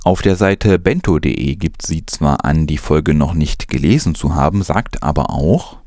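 A man's voice: a bass voice, lively intonation, normal speed, a little mellow, no room sound, clean studio sound. A man presenting news as scripted narration.

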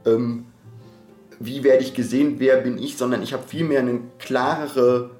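A man's voice: mid-range voice, fairly even intonation, measured pace, darkish tone. A man in a reflective podcast discussion, speaking in a searching, self-correcting academic way. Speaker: podcast co-host. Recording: roomy echo, background guitar music.

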